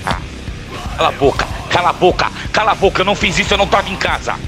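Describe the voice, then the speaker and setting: Man playing a fast-talking, distraught young son. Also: high voice, big pitch swings, over music. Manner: hurried, pleading, accusing. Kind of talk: audio drama acting.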